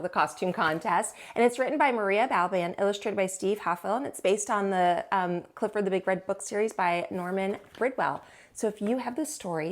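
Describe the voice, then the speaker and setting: A woman hosting storytime, reading aloud to young children with an expressive, teacherly delivery. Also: mid-range voice.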